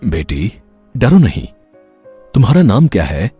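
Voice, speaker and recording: Man acting a husky kindly tea-stall uncle. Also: on the low side, huge pitch swings, a little slow, mellow, soft background music, heavily acted. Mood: gentle, caring. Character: kind, worried older tea-stall uncle, fatherly and gentle. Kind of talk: Hindi moral-story cartoon voice acting.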